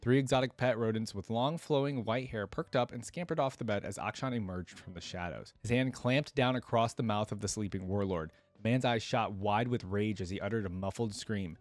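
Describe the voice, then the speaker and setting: A man's voice: lowish voice, a little fast, hardly any pauses, dry recording, soft background music. A man hosting a reaction video, reading a story aloud and commenting casually as he goes.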